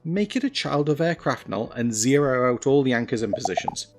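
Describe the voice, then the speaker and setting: A man narrating a scripted software tutorial, giving clear step-by-step instructions with wry, self-deprecating asides. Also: medium pitch, animated, brisk, faint music behind. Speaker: tutorial presenter.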